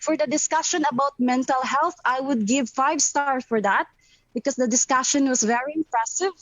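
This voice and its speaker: A woman's voice: high-pitched, moderate pace, no echo. A woman with a Filipino accent, a teacher giving prepared feedback in an online seminar over a call. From Philippines. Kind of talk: prepared spoken testimonial. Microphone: online video call, camera off. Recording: muffled lo-fi online call audio.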